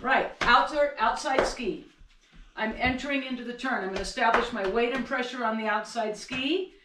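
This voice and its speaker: A middle-aged woman giving an energetic, upbeat home-video ski lesson in her kitchen, demonstrating as she talks. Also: a little high, normal speed, background noise. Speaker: ski instructor. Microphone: far camera. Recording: echoey kitchen from far off.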